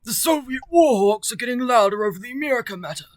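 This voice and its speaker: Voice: medium pitch, lively intonation, fast, dry recording, lightly acted. A performer voicing a grave senior government official in an amateur anime fan dub.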